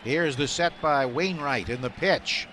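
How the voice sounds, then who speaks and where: Bright-voiced male baseball play-by-play announcer. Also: a little high, a little slow. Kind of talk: live radio play-by-play commentary. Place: broadcast booth at an outdoor ballpark. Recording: steady background noise of ballpark. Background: stadium ambience.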